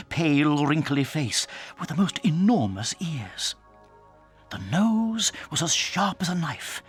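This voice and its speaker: A British man narrates a children's story with a husky, breathy voice and lively swings of pitch. His delivery is dramatic and suspenseful, sometimes sinking toward a whisper, over faint music.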